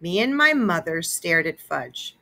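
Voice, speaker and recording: A woman narrates a children's story expressively. Her voice sits a little low, with a bright, forward edge. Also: very animated, unhurried, gravelly. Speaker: read-aloud narrator.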